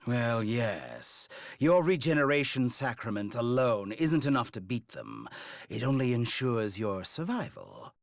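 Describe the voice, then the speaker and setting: A man voicing a mocking, gleeful villain scholar in an anime fantasy game, with theatrical, drawn-out delivery. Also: medium pitch, very animated, vocal fry, dry recording. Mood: wry.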